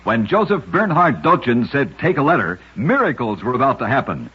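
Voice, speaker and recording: A man's voice: medium pitch, big pitch swings, moderate pace, slightly rough. A man narrating short scripted oddity stories as a radio host, with a dramatic storytelling delivery. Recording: muffled vintage radio recording.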